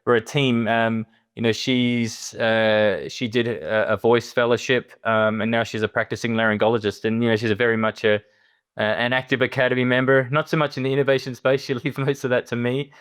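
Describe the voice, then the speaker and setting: A middle-aged man with an Australian accent, speaking conversationally as a podcast guest, haltingly, often repeating words and restarting. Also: medium pitch, little pitch movement, a bit bright, clear, resonant tone. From Perth, Western Australia. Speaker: interview guest, surgeon.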